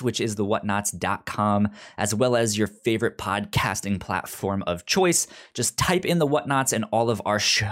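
A man hosting a casual podcast, talking loosely with many fillers and frequent stuttered repeats of opening sounds. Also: fairly low pitch. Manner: filler-heavy, relaxed. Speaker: podcast host.

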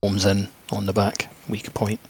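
A man's voice: fairly low pitch, completely flat delivery, unhurried, a little mellow, slight background noise. A man chatting casually as a co-host on a video game podcast.

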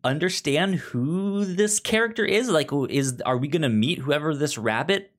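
A man co-hosting a podcast in a casual book discussion, halting and often stammering over his words. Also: on the high side, expressive. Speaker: podcast co-host.

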